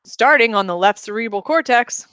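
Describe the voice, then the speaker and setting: A detached surgeon from an audiobook, read by a woman, businesslike and matter-of-fact. The delivery is clipped and slightly high, with a dry, close sound. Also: animated, moderate pace, sharp tone, smooth, no room sound. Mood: casual, detached. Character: detached, businesslike surgeon talking over a patient.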